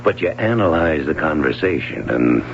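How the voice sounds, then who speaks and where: An actor playing an evasive, offhand swimming coach questioned by a police sergeant in an old radio drama. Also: male voice, extremely low pitch, animated, normal speed, vocal fry, heavily acted. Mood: guarded. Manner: casual, evasive, chuckling. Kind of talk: 1970s radio drama acting. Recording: muffled old radio broadcast with hum.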